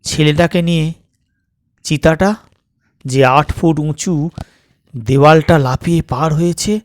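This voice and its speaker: A man reading a suspense story as a dramatic audiobook narrator, in measured, deliberate phrases with pauses. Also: on the high side.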